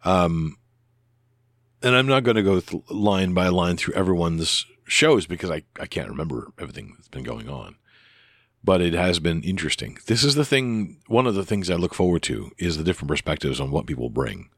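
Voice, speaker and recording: A man with a deep voice talking casually into a close microphone on his podcast, rambling and hesitant with many restarts and fillers. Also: big pitch swings, moderate pace, dry recording. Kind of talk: casual spoken reply to another podcaster.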